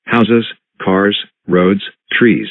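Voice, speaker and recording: A man playing a solemn, official emergency alert announcer, reading formal, measured scripted notices. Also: lowish voice, fairly even intonation, dry recording. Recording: muffled lo-fi broadcast audio.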